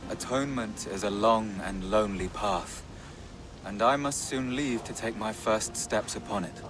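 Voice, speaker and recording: An actor playing a pious, guilt-ridden warrior seeking atonement, speaking gravely and solemnly in a video game. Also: male voice, lowish voice, measured pace, over music, close to an everyday voice, poor audio. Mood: solemn, remorseful. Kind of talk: dramatic video game acting. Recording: clear outdoor ambience noise.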